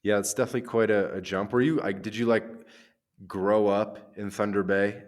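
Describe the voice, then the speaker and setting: A man hosting a podcast, asking questions in a casual, easygoing way with frequent ums and pauses. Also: lowish voice, steady tone. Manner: easygoing, hesitant, many ums and uhs. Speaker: podcast host. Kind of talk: casual interview.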